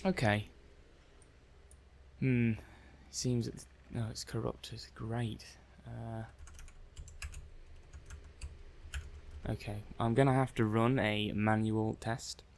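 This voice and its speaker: Guy acting as a puzzled robot lab tech for asmr, lowish voice, lots of creak, halting and muttery. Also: flat delivery, a little mellow, slight background noise. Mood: perplexed. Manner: hesitant, halting, muttering to himself. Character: puzzled, mild-mannered male robot-testing technician. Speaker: roleplay performer. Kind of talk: ASMR roleplay.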